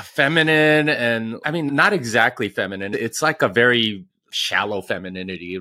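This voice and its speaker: Low-voiced Korean-born man, reflective. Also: bright, forceful tone, no room sound. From South Korea. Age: 40s. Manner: reflective, pausing with frequent restarts. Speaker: podcast guest. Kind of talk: conversational interview.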